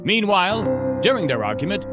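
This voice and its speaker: A sergeant narrating a detective mystery , played by a man. His voice is high and swoops widely in dramatic storytelling. Loud music plays over the radio-play narration. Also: very animated, normal speed.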